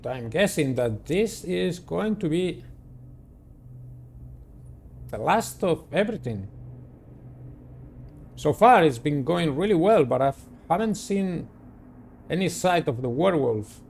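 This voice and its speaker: A man's voice: fairly high pitch, animated, slow, gravelly, pauses often, darkish tone, slight background noise. A man reading a visual novel aloud as a playthrough, with dramatic, theatrical storytelling. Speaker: game player reading aloud.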